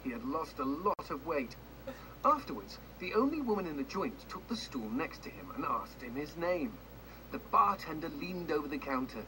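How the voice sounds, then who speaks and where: An actor playing a wry, macabre storyteller who narrates a grim storybook tale in a video game, dramatic and tongue-in-cheek, heard through noisy TV audio. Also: male voice, fairly high pitch, big pitch swings, moderate pace. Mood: ominous, wry.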